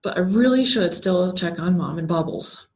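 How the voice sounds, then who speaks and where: A woman's voice: fairly low pitch, expressive, normal speed. A woman voicing a startled, incredulous young heroine who exclaims anxiously in a scary story. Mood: uneasy. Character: startled, disbelieving young woman, defiant heroine of horror tale.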